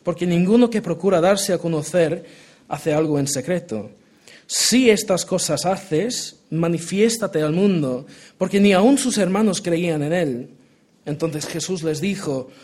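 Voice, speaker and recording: A man's voice: fairly high pitch, expressive, a little slow, a little mellow. A young man raised in Portugal preaching, his Spanish accented by Portuguese and American English; earnest, with many restarts. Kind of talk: sermon, Bible reading and prayer.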